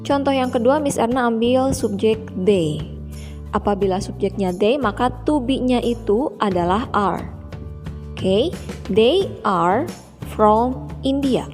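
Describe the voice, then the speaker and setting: A young woman teaching English to primary school children, slowly and carefully repeating each example sentence. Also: medium pitch. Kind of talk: teaching English in a video lesson. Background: background music.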